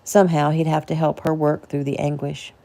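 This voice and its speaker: A woman's voice: low voice, flat delivery, fairly quick, creaky, mellow. A woman reading her own novel aloud as a casual audiobook narration.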